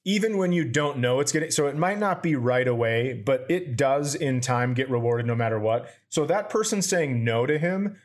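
A man's voice: medium pitch, moderate pace, few pauses. A comedian hosting a podcast in easy, casual talk. He reads items out and then riffs on them bluntly, with crude jokes and an everyday voice.

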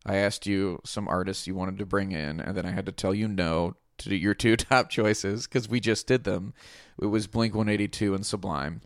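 A man's voice: low voice, steady tone, normal speed, hardly any pauses, no room sound, clean studio sound. A man hosting a music podcast, rambling with many fillers and wry asides. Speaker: podcast host. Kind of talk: casual chat.

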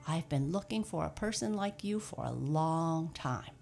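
A woman voicing a brisk, kindly old Santa Claus who speaks heartily and gives cheerful commands. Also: low voice, lively intonation, vocal fry, darkish tone, faint music behind, exaggerated voice. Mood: hearty.

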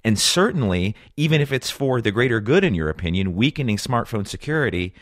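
A man's voice: mid-range voice, big pitch swings, fairly quick, no echo, clean studio sound. A man working as an interviewer, putting long, formal questions to a guest.

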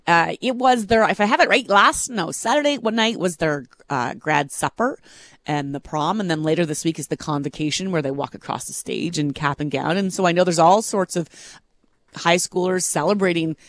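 A man's voice: on the high side, moderate pace, fairly bright tone, dry recording. A man co-hosting a radio show, talkative and curious in casual chat. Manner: talkative, curious, laughs easily.

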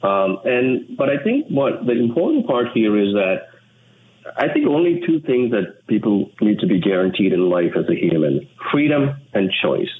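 A man from Sri Lanka, an English speaker, talking in an earnest, measured way as an interview guest. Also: on the low side, big pitch swings. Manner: measured, earnest, with frequent restarts. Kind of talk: reflective interview talk. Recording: tinny phone line with faint noise.

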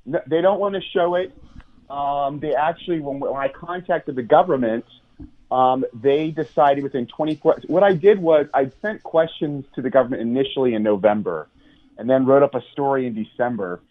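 An American man calls in to a radio interview and speaks in a medium-pitched voice. His sound is thin and phone-like. He explains in an earnest, roundabout way, full of ums and restarts. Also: normal speed. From United States. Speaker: journalist guest. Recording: tinny phone line.

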